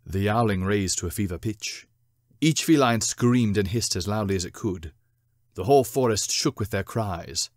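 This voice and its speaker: A man reading a story as narrator, with dramatic, deliberate, theatrical phrasing. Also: lowish voice, lively intonation, darkish tone, dry recording, clean studio sound. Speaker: narrator, short story reader.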